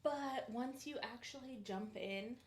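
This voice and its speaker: A woman's voice: a little high, a little slow, slight background noise. Easygoing Californian woman in echoey room. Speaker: podcast co-host. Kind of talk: casual chat.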